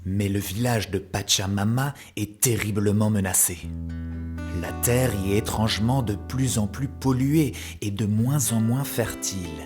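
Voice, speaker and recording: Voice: mid-range voice, a little slow. A narrator telling a children's cartoon story in a theatrical, mock-epic way. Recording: loud guitar music behind the voice. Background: plucked guitar music.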